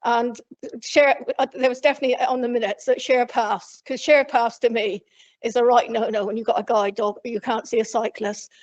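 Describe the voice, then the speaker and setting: A woman from Cornwall speaking loudly and plainly from memory to a council committee, rambling with frequent restarts. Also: on the high side, level tone, normal speed. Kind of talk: unscripted address from memory. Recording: dull lo-fi council meeting recording.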